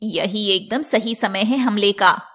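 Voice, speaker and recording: A woman voicing a gloating, predatory poison-maiden villain in an animated fairy tale, drawing out her words. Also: mid-range voice, slow pace. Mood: scheming.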